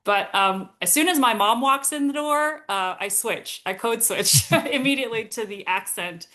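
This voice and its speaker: A woman's voice: fairly high pitch, moderate pace. A woman in her forties, from Singapore, with a General American accent, speaking as an interview guest in a reflective, conversational way with frequent laughs. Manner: warm, reflective, laughs often, some hesitations. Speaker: guest, consultancy founder.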